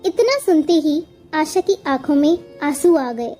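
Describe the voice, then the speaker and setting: High-pitched, slow woman storyteller. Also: lively intonation, mellow, faint music behind. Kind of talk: scripted moral story narration.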